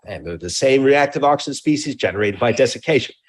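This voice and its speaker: A mature man with a mid-pitched voice speaks as a scientist being interviewed. He explains things deliberately and technically, choosing precise scientific terms. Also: animated, husky. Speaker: research scientist interviewed as a guest.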